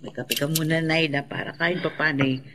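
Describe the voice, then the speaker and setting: A woman's voice: low-pitched, fairly even intonation, fairly quick, background noise, close to an everyday voice. An actress playing a kindly, sympathetic older woman in a Filipino radio drama. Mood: gentle.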